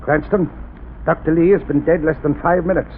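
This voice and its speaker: A man playing a nervous, suspicious laboratory chief in a 1930s radio drama, quick to accuse. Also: on the high side, steady tone, lightly acted. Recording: tinny old radio broadcast with faint hiss.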